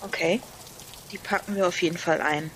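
Low-voiced woman playing along. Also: fairly even intonation, moderate pace, a bit bright, a little hiss or hum, poor audio. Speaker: game show contestant. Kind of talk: casual unscripted game talk.

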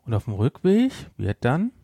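A man's voice: medium pitch, huge pitch swings, normal speed, noisy background. A man co-hosting a podcast in casual chat, emphatic and opinionated, repeating himself to press his point. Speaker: podcast co-host. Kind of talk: casual chat reviewing audio drama.

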